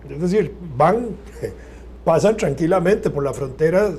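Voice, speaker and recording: A man's voice: fairly high pitch, lively intonation, a little slow, a little mellow, slight background noise. An older man, explaining points in a panel chat. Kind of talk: casual chat.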